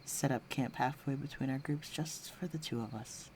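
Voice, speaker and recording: A man's voice: medium pitch, normal speed, darkish tone, a little hiss or hum, close to an everyday voice. A man playing a flirtatious, teasing villain with a secretly tender side, speaking intimately to the listener in an audio roleplay. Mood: flirtatious, wry. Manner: teasing, coaxing, breathy murmurs.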